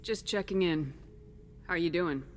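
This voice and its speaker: A calm military commander from a sci-fi game, played by an actor, supportive and measured. The voice asks probing questions, with noticeable ambient noise behind it. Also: medium pitch, lightly acted. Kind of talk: scripted video game dialogue.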